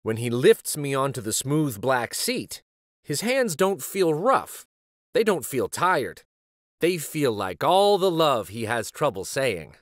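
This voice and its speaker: A man narrates a children's storybook with hugely varied, swooping intonation. He takes his time over the phrases, and the recording is close and dry. His delivery is expressive and deliberate. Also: medium pitch, no echo. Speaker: children's read-aloud host and storyteller. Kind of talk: expressive picture book narration.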